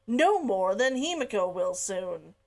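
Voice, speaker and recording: A woman's voice: medium pitch, very animated, slow, pitched below the performer's own voice. A woman voicing a proud, stubborn young woman who speaks sharply in a storybook read-along. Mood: indignant. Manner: sharp, cutting delivery.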